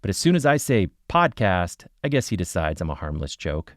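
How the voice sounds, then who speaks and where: An actor playing a wry paranormal investigator, giving measured first-person narration in a scripted podcast. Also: male voice, low voice, big pitch swings, slight background noise.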